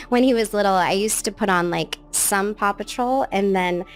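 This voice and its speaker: A woman in her late thirties, a guest in a casual interview, with a naturally high, sing-song voice that jumps up into bright baby-talk tones when she quotes. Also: a little slow, slight background noise. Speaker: guest, children's show creator.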